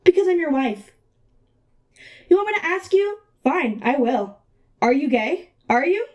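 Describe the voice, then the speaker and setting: A woman acts out an angry, desperate wife in melodramatic fashion. Her voice is very high as she confronts her husband in a spoof of serious theatre. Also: sing-song, unhurried, darkish tone, highs cut off. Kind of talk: overwrought stage drama parody.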